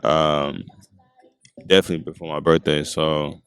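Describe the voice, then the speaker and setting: A young man in his twenties with a very low, deep voice talks in a relaxed, rambling way, full of "you know" and "um". He speaks into a handheld mic outdoors at a skate park. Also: normal speed, smooth, no room sound. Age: 20s. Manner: laid-back, rambling with many fillers. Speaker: podcast guest. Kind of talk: casual chat.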